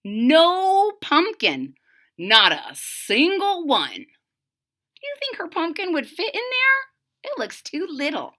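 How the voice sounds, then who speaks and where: A woman reading a storybook aloud to young children, expressively, with direct asides to the listeners. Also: extremely high pitch. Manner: deliberate, animated, addressing children directly. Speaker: storybook reader.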